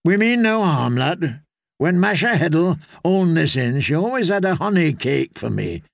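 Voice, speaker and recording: A man voicing a kindly, courteous travelling holy man in a storybook, gentle and conciliatory. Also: on the high side, big pitch swings, normal speed, vocal fry, no room sound, clean studio sound, voice pushed up.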